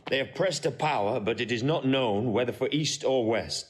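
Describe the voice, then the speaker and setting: An actor playing a proud, shrewd warrior general in a classical Shakespearean audio drama, declaiming crisp verse. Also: male voice, medium pitch, normal speed, lightly acted. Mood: grave, scheming. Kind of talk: classical Shakespearean stage acting.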